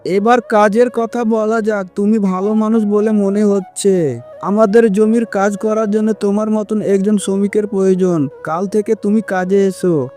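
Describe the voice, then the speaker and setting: A man voicing a self-satisfied young landlord's son in a cartoon, speaking in a measured, patronising way. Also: sits high, steady tone, warm tone, faint music behind. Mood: pleased. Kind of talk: cartoon dialogue acting.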